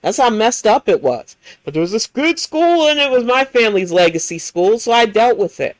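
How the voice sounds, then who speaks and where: A woman's voice: fairly high pitch, very animated, moderate pace, no echo, poor audio. An American woman hosting a livestream, reacting to clips in a blunt, profane, heated rant. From United States. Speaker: livestream host.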